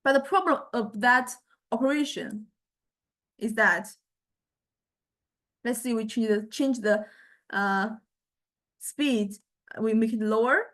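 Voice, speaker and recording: A woman lecturer explaining a software demonstration in a halting way, often repeating words as she searches for the next one. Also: on the high side.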